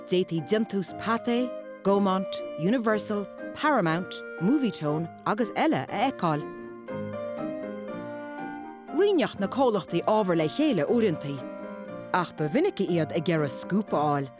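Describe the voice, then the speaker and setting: A woman's voice: mid-range voice, expressive, a little fast. An Irish woman reads scripted documentary narration as a voice-over. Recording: voice-over with music behind. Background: music.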